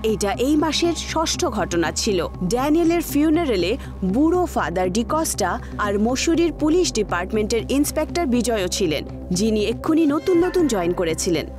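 A woman narrates a horror tale dramatically, in a fairly high voice. Her pitch moves in lively swings over clearly audible music. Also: moderate pace.

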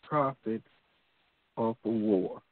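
A man's voice: fairly low pitch, slow pace, no echo. A man co-hosting a casual talk show, speaking bluntly and emphatically with a lot of profanity. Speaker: co-host. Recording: tinny phone line.

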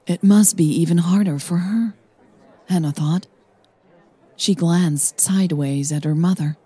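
A woman narrates a romance story in a calm, measured voice, sitting slightly low in her range. Her tone is warm and mellow, and her delivery is soft and husky, never sharp. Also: no echo. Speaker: podcast host and narrator.

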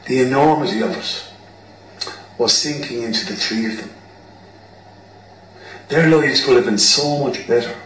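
Male Irish narrator for a radio play, mid-pitched, echoing room sound. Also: a little slow, long pauses, a bit bright. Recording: roomy, distant mic, background noise.

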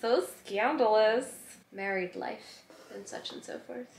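A woman's voice: on the high side, unhurried, creaky, echoey room, background noise. A woman in her thirties chatting casually to a camera as a vlog host.

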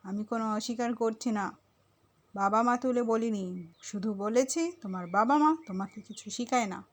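A woman voicing a bossy, ageing mother-in-law in a cartoon, nagging with sharp questions. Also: on the high side, normal speed, lightly acted. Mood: scolding.

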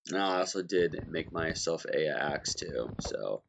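A man recovering from illness and a bit hoarse, giving a relaxed step-by-step game walkthrough with lots of ums. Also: rumbling low, unhurried, a little hiss or hum. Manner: relaxed, many ums and filler restarts. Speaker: gaming guide creator. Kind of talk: walkthrough commentary over gameplay. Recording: music playing behind.

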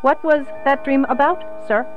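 A woman's voice: high-pitched, normal speed, dull audio, soft background music, natural voice. A woman acting in a radio play as a formal, insistent saleswoman and interrogator with stilted phrasing. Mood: probing. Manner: formal, stilted, avoiding contractions.

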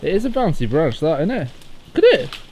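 A young man chatting casually with friends on an exploring trip, excitable and sweary, full of exclamations. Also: a little high, brisk. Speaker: urban explorer in a group vlog. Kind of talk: casual chat while hiking, sweary banter. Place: outdoors on woodland trail, then inside cave. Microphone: handheld camera microphone. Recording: noisy outdoor action camera recording.

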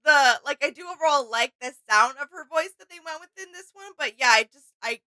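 A woman's voice: sits high, lively intonation, brisk, sharp tone. A young woman chatting casually as a reaction video co-host in an agreeable, appreciative way. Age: young adult. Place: small indoor room with gaming setup.

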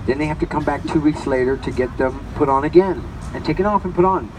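Male tour guide, loud and chatty, off-mic on a moving vehicle, heavy wind. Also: fairly high pitch, fast talker. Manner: chatty, addresses listeners directly. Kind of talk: live guided tour commentary. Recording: distant, muffled mic with loud wind noise.

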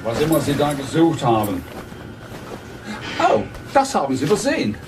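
A lively, clipped policeman. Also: on the high side, normal speed, background noise. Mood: explaining. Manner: clipped, businesslike. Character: brisk male police colleague reporting findings. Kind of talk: radio play acting.

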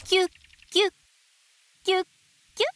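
A woman reading picture books aloud to babies in a gentle, sing-song way, dwelling on playful sound words. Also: very high voice, little pitch movement, moderate pace, a little hiss or hum. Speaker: storybook narrator voicing an owl. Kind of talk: children's picture book read-aloud.

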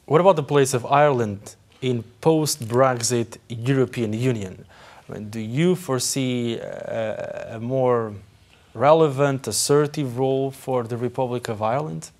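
A man's voice: mid-range voice, measured pace, darkish tone. A man hosting a television talk show, asking long, winding questions full of fillers.

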